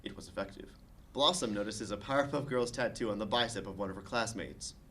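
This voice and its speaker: A man narrating the stage directions of a comedy screenplay at a table read, with expressive delivery. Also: on the low side, brisk, slight background noise.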